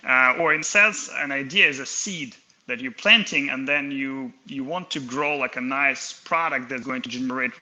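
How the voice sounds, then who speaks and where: A man's voice: medium pitch, moderate pace, few pauses, bright, forceful tone, poor audio. A man from Kazakhstan in his thirties, an inventor guest talking casually in an interview, hesitant with many fillers and restarts.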